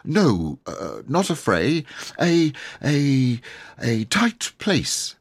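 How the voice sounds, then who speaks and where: A man's voice: mid-range voice, huge pitch swings, extremely slow, husky, pitched above the performer's own voice, exaggerated voice. A man voicing an earnest, flustered young boy who stumbles and corrects himself politely in a storybook reading. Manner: stumbling, self-correcting, polite schoolboy chatter.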